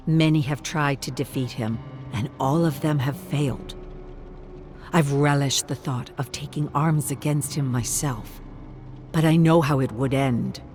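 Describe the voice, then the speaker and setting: A narrator acts out a mysterious man, sly and knowing. He probes quietly and insinuatingly, the words set over music in a dark fantasy story. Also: mid-range voice, a little slow, natural voice. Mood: knowing, probing. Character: pale, knowing male stranger, sly and probing.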